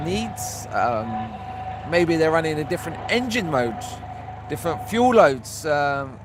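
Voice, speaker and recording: A man chatting casually outdoors at a race circuit, giving relaxed commentary on the cars and reading out viewer comments. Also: on the high side. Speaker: vlogger commenting on practice session. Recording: loud outdoor traffic noise. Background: race cars passing.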